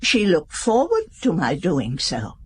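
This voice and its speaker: An actress playing a gracious, composed elderly widowed princess in a radio drama, formal and measured in manner. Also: female voice, lowish voice, huge pitch swings, breathy edge, heavily acted. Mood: gracious, restrained.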